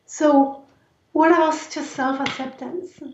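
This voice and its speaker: A woman from Argentina with an Argentine Spanish accent and a raspy voice, speaking earnestly and reflectively as an interview guest. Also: sits high, steady tone, slow pace, slight background noise. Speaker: interview guest, life and movement coach. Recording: roomy echo, distant mic, dull sound.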